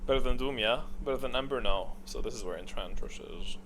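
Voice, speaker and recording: A man giving casual, unscripted commentary on a video game, opinionated and thinking out loud as he ranks things. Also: mid-range voice, fairly quick, slight background noise.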